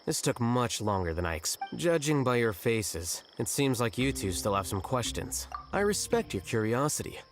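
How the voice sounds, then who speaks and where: A man voicing an aloof male scholar in a game, dry, deadpan and curt. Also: medium pitch, normal speed, faint music behind, close to an everyday voice.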